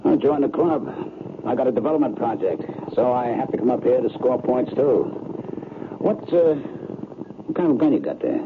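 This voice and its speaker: An actor playing a brash, uneasy American builder in an old-time radio drama, plain-spoken, colloquial and insistent. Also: male voice, mid-range voice, lively intonation, normal speed, lightly acted. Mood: casual, chatty. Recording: noisy, tinny old radio recording.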